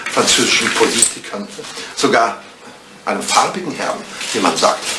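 Man acting an old butler, discreet and deferential, expressive medium-pitched voice, dry gossip, retro radio play, noisy roomy recording. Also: lightly acted. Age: older. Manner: formal, deferential, dryly understated. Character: elderly, devoted English butler, discreet, dryly gossiping. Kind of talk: 1980s German radio drama acting.

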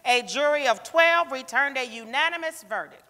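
A woman's voice: high-pitched, expressive, moderate pace, a loud crowd. A woman playing a formal narrator, giving declamatory scripted narration in a stage reading. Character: formal female narrator of a court history. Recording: reverberant theatre hall.

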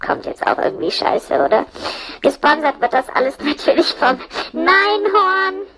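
A woman's voice: high-pitched, big pitch swings, moderate pace, sharp tone, a little hiss or hum. A woman rambling through a casual comic monologue as a storyteller, slangy and sweary, joking and mimicking people as she goes. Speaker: audio-play storyteller in a puppet's persona.

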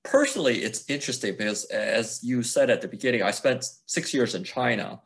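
Middle-aged american guy, lawyer on a panel, calm and articulate, video call with earbuds. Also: mid-range voice, creaky. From United States. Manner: measured, articulate, gestures while speaking. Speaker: panelist, lawyer at a progressive organization. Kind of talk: panel discussion answer. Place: office at home, indoors. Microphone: video call with earphone mic.

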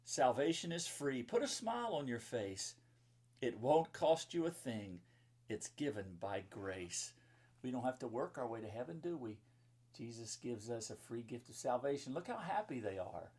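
A man's voice: mid-range voice, sing-song, moderate pace, darkish tone, slightly rough. A man in his fifties, a pastor reading and talking to children in simple, explanatory phrases as in a Bible story time. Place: book-lined room indoors.

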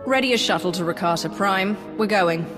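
A woman voicing a curt, ruthless dark lord. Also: mid-range voice, brisk, close to an everyday voice. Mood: cold. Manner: curt and commanding. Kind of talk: video game voice acting. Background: game music.

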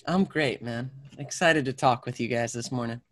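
A man in his thirties, a podcast guest, talking in a laid-back, halting way over earbuds. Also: medium pitch, dry recording. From Atlanta, Georgia. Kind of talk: casual panel chat.

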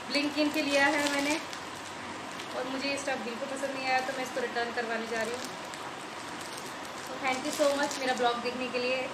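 Chatty high-voiced woman vlogging in echoey room. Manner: chatty and rambling, repeats herself; gets more emphatic when complaining. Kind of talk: casual product unboxing review. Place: small tiled room indoors. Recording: echoey tiled room, loud background hiss.

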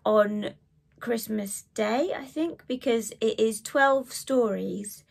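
A woman in her thirties with a cold and a croaky voice, chatting to camera about books she read, with lots of ums and asides. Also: medium pitch. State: hoarse from a cold. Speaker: book vlogger. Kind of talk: casual chat to camera. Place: living room with bookshelves.

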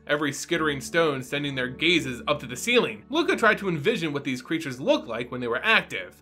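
A man's voice: on the high side, bright, forceful tone. A man in his thirties hosting and narrating stories dramatically, with a theatrical, teacher-like address to his listeners. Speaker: host and story reader. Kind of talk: dramatic story narration and explainer. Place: small home room, indoors. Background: music.

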